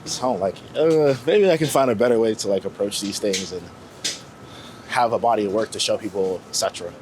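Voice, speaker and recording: A man's voice: on the low side, fairly quick. Candid American man chatting over street noise. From United States, Florida. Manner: informal and candid, with slang and swearing. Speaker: street photographer being interviewed. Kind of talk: casual reflective chat. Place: outdoors on New York city streets. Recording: outdoor street noise with passing vehicles. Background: city traffic ambience.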